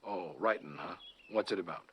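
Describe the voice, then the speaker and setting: A man playing a patient, gentle middle-aged father in natural, unhurried television family dialogue. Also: sits low, slight background noise, close to an everyday voice. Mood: indulgent, amused. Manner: unhurried, indulgent, conversational.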